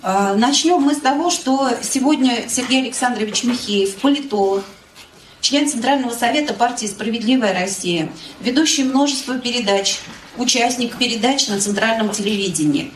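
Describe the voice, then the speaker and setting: A woman moderating a public meeting, introducing the guests in a measured, formal way. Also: a little high, slight background noise. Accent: Russian. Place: meeting hall. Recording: echoey hall, mic at a distance.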